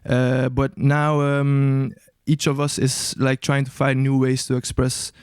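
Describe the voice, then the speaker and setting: Smooth-voiced young man, hesitant and searching. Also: medium pitch, completely flat delivery, takes it slowly, no room sound, studio quality. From Albania. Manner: hesitant, searching for words, many restarts. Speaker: guest musician. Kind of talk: casual interview answers.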